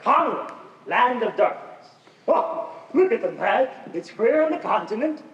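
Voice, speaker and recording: A man acting as a scheming showman out for a fortune. The high voice swings widely in stagey delivery. It comes from far off in an echoey hall. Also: very animated, unhurried, a bit bright, dull audio, exaggerated voice. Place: high school theater stage.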